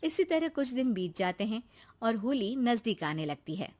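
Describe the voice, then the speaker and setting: A woman's voice: on the high side, big pitch swings, moderate pace, darkish tone. Woman narrating a dramatic story.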